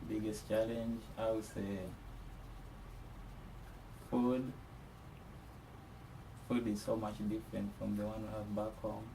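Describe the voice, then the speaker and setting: A man's voice: fairly low pitch, level tone, slow, vocal fry, long pauses, mellow. A man from Uganda, an international student, giving frank, casual interview answers. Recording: roomy, distant, light noise.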